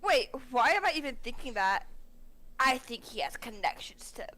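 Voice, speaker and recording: Woman, high pitch, very lively intonation, silly, giggly, casual line reading, low-quality microphone. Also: normal speed. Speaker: co-reader of fan fiction. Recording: poor-quality amateur microphone.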